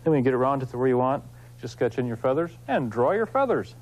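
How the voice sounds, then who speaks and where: A man hosting an instructional craft demonstration, explaining informally and in a folksy, unhurried way while he works with his hands. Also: medium pitch, sing-song, darkish tone, low-quality recording. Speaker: woodcarving instructor, show host.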